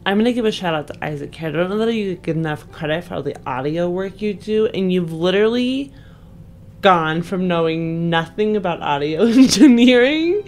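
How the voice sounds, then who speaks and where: A woman's voice: mid-range voice, a little mellow, slight background noise. A woman co-hosting a podcast in casual, conversational talk. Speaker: podcast co-host. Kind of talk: casual chat.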